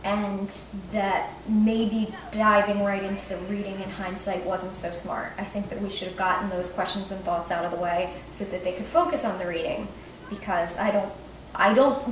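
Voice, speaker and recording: A woman's voice: mid-range voice, moderate pace. A young woman talking casually and informally as a visiting author, recorded at a distance in a roomy space. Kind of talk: casual reflective talk and question-and-answer with schoolchildren. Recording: roomy, distant mic, some noise.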